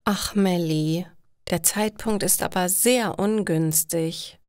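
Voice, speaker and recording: A woman voicing a caring, practical mother who talks gently and encouragingly in an audio story for language learners. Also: on the low side, takes it slowly, smooth, no room sound, studio quality. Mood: dismayed. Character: caring, practical, reassuring middle-aged mother. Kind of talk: acted dialogue in a slow learner's audio story.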